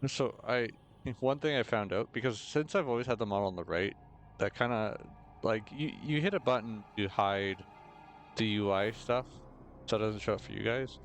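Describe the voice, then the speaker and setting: Slightly hoarse man, low voice. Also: moderate pace, faint music behind. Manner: rambling, self-correcting, with many fillers. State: rough throat. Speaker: gaming video host. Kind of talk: casual gameplay commentary. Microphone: desk mic with noise gate.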